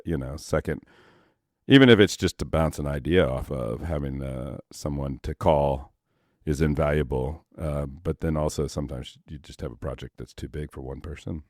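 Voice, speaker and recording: A man's voice: extremely low pitch, no echo, studio quality. A man in his fifties hosting an interview podcast, easygoing and self-deprecating. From Texas, United States. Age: 50s. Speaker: podcast host. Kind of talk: casual interview chat.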